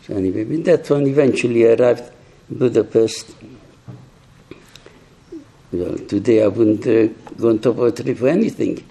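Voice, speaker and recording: An elderly man in his eighties or nineties giving a personal testimony to young people. He talks slowly and reflectively, in halting phrases with frequent restarts, and says he is hard of hearing. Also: mid-range voice, warm tone, slightly rough. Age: older, 80s or 90s.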